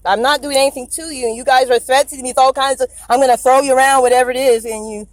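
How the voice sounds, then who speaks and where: Nervous woman in her car on a phone recording, rambly, lots of stammering, voice sits a bit high. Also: steady tone, racing, no echo. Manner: anxious, rambling, stammering and deferential. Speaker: driver recording a police stop.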